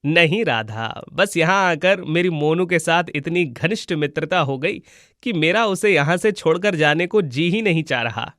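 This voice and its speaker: Man as a gentle young god in cartoon. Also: a little high, moderate pace, no room sound, clean studio sound. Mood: kindly. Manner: calm, kindly, reassuring. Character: gentle, wise young god disguised as a schoolboy. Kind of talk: Hindi moral-story cartoon voice acting.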